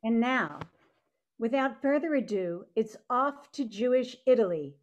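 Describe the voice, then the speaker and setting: A woman's voice: on the high side, expressive, unhurried, dull audio. An older woman presenting a scripted introduction on a video call from a room at home.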